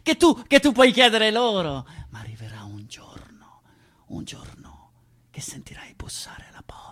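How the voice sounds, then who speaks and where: Woman as a high, bright, taunting accuser. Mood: ominous hushed. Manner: insistent, repetitive, swinging from whisper to shout. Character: taunting, accusing inner voice, mocking and cruel. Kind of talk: theatrical monologue for radio.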